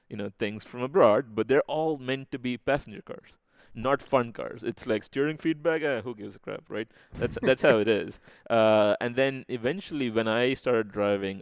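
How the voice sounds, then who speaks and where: A man with an Indian accent talks casually as an interview guest. His voice sits low with a fry to it, and the sound is close and dry. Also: no room sound. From Chennai, India. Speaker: podcast guest.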